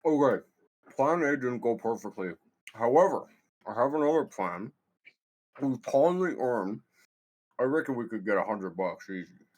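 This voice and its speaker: A man's voice: medium pitch, lively intonation, moderate pace, long pauses, darkish tone, natural voice, low-quality recording. A man playing an eager, scheming young stoner slacker in a comedy table read, with casual, slangy delivery.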